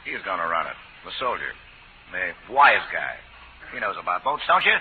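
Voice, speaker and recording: Casting: a cocky, threatening mob boss, very animated delivery, slangy orders, on a tinny old radio recording. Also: male voice, deep voice, moderate pace, husky, exaggerated voice. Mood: menacing. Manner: tough slangy talk, barked threats. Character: menacing, boastful American gangster boss giving orders. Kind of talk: 1940s radio drama acting. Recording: lo-fi 1940s radio broadcast.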